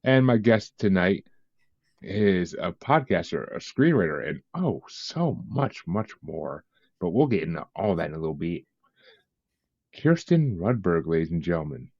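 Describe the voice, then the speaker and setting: A man's voice: deep voice, very animated, unhurried, a little mellow, no echo. A man hosting a podcast in a casual chat, joking, laughing and swearing freely. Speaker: podcast host. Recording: dull, lo-fi, dry sound.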